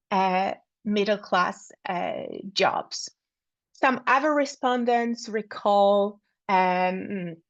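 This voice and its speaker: A woman giving an academic talk over a video call, halting often with fillers and repeated words. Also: medium pitch, no echo. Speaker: academic speaker. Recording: dull lo-fi sound.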